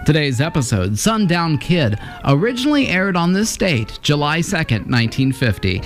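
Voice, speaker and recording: Man presenting old-time radio, animated scripted read, soft music bed. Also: on the high side, moderate pace. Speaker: program host. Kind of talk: scripted narration introducing vintage radio.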